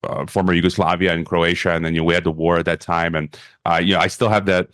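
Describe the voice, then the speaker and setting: A male podcast host asking interview questions in a halting way, repeating words as he goes. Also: sits low, flat delivery, fairly bright tone, dry recording, studio quality.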